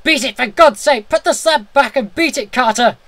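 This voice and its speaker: Guy doing a panicked doomed man in a horror story, high voice, despairing, begging his friend to run. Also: moderate pace, a bit bright, no echo. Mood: frantic, pleading. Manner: frantic, urgent, crying out. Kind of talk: dramatic horror story reading.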